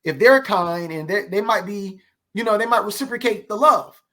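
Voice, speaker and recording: High-voiced man telling stories in conversation. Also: animated, normal speed, fairly bright tone. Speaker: podcast guest, actor. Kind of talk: conversational storytelling interview.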